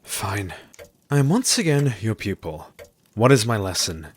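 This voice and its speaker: An actor playing a polite, weary doctor and loyal former pupil in an audio drama, in a measured manner. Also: male voice, lowish voice, sing-song, slightly rough, exaggerated voice. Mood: resigned.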